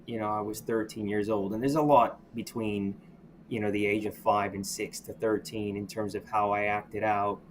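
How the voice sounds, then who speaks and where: A middle-aged man, a podcast guest from the United States, talking candidly about his past in a reflective, halting way, often restarting and saying "you know.". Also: on the low side, level tone, a little hiss or hum. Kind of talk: candid personal storytelling.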